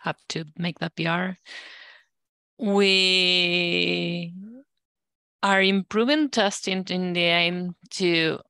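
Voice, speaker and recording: A woman with a low, smooth voice gives a status update in an online group meeting. She speaks at a slow, measured pace, and the sound is dry and close. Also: steady tone, bright, forceful tone, no room sound. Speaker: working group lead giving a status update.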